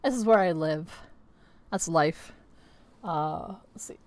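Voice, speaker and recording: Young adult woman, casual livestream host, slightly scratchy, lower voice, chatty and meandering. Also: expressive, slow pace, darkish tone. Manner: rambling, filler-heavy, chatty. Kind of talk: casual chat with viewers. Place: home streaming setup with green screen.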